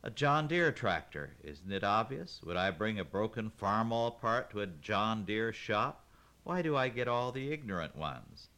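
A man's voice: on the low side, lively intonation, moderate pace, fairly bright tone. A man voicing a middle-aged farm wife who is exasperated and sarcastic, in a read-aloud story.

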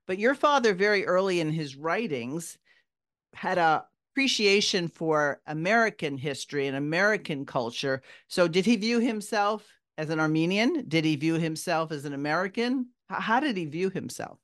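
A man's voice: on the high side, animated, moderate pace, a bit bright. A man interviewing a guest, asking probing follow-up questions.

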